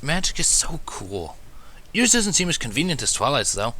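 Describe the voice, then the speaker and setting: A man voicing a small, young, excitable and cheeky male dragon in a storybook reading. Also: mid-range voice, moderate pace, slight background noise, pitched above the performer's own voice. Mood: awed.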